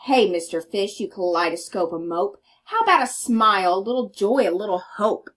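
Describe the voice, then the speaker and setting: A woman's voice: mid-range voice, very animated, normal speed, fairly bright tone, voice pushed down. A woman voicing a squirmy, slightly impolite female squid in a storybook, pertly speaking in rhyme. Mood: pert, urging. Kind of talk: storybook read-aloud character voice.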